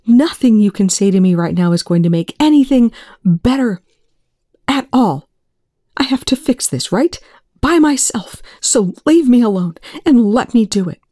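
A woman reading aloud, voicing a distraught young man who shouts and then chokes out whispers through tears. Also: lowish voice, moderate pace, dry recording, studio quality, close to an everyday voice. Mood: shouting, anguished.

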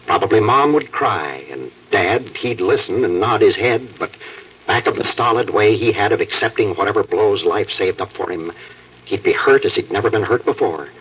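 An American actor playing a fatherly night editor, telling stories in a reflective, halting, dramatic 1940s radio manner. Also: fairly low pitch, lively intonation, slightly rough. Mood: wistful, reflective. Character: fatherly newspaper night editor spinning wistful human-interest yarns. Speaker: storyteller. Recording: muffled, distant old radio broadcast.

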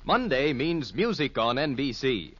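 A man's voice: mid-range voice, very animated, a little slow, a bit bright. An American man reading a scripted 1950s radio promo as a formal, polished network announcer. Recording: dull, dry old radio recording.

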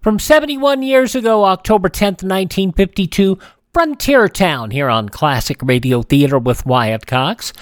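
A male radio host introducing old shows with a lively, friendly delivery. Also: sits high, normal speed, clear, resonant tone, no room sound, studio quality. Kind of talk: radio show hosting.

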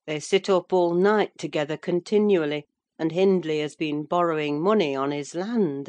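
Audiobook role: female reader as level-headed maidservant giving earnest warnings, slow and measured. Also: low voice, darkish tone, full character voice. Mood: concerned gossip. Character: sensible, cautioning female household servant.

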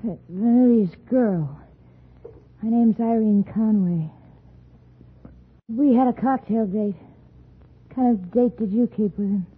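An American actress playing a dazed, evasive girlfriend in a 1950s radio thriller, husky and drifting. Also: a little high, heavily acted. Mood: cool, languid. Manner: slow, drifting, halting delivery. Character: dazed, sly young woman, dead man's girlfriend, dreamy and evasive. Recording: tinny old radio broadcast.

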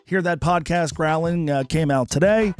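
A man hosting a sports radio show in a relaxed, casual way, with easy filler words. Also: on the high side, moderate pace, soft background music. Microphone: studio microphone.

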